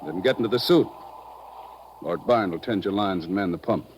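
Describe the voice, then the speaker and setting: A man's voice: low voice, fairly quick, a little mellow, close to an everyday voice. A man playing a bossy, menacing ship's skipper in an old radio drama, giving curt, sly orders. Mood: curt, commanding. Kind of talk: vintage radio drama acting. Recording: noisy old radio recording.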